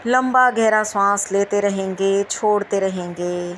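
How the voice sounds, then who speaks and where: A woman guides a meditation at a very unhurried pace. Her pitch sits in the middle, and she repeats gentle instructions with long pauses. Faint background noise runs under the voice.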